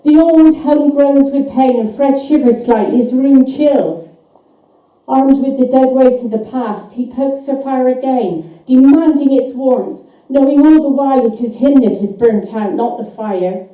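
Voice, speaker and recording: Echoey, very mellow woman reading a story. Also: high voice, a little slow. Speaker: author reading her own short story. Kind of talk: live dramatic story reading. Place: live reading event, voice picked up from afar. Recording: echoey hall, distant microphone.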